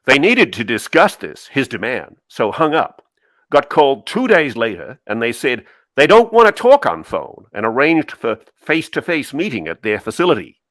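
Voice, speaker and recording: Man reading as a clipped, guarded admiral, lively delivery. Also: medium pitch, normal speed, no room sound. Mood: firm, recounting. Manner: clipped, telegraphic phrasing. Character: guarded senior admiral recounting secrets in clipped phrases. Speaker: co-host reading a part. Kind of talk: dramatic cold reading of a memo transcript.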